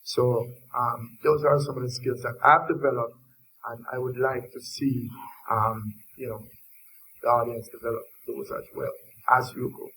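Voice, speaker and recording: A man's voice: medium pitch, level tone, normal speed, sharp tone, slight background noise, rough recording. A man from Jamaica with a Jamaican accent, speaking earnestly to students as a panelist.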